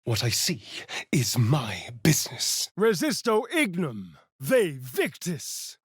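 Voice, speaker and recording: An actor playing a sly, vain vampire rogue in a video game, with an arch, sardonic, flamboyant delivery. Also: male voice, fairly high pitch, huge pitch swings, slow pace, husky, dry recording, studio quality, exaggerated voice. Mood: dramatic, hushed, then incanting. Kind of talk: video game voice acting, dramatic lines and narration.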